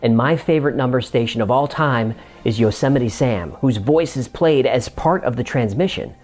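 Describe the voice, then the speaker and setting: A man's voice: medium pitch, darkish tone. A man hosting a scripted explainer, narrating it in a conversational way with room for comic banter. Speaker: host.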